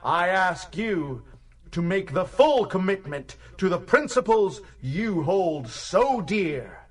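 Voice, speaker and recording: An actor playing a fervent orator addressing an assembly in a radio drama, with grandiose, preacherly emphasis. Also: male voice, high voice, animated, slow pace, recorded from a distance, full character voice. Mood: urgent, rousing. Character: fervent male rabble-rousing orator addressing a community assembly. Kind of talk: theatrical political speech in radio drama.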